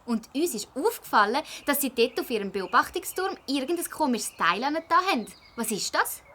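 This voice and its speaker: A woman's voice: high voice, big pitch swings, brisk, sharp tone, exaggerated voice. A female performer playing an earnest, insistent schoolgirl detective in a children's audio drama, with a Swiss German accent. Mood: cautious.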